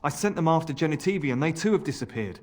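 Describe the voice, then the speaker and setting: A man playing an anxious, gloomy scholar's assistant in a video game, halting and fretful. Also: a little high, expressive, a little mellow. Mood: worried. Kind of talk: video game character acting.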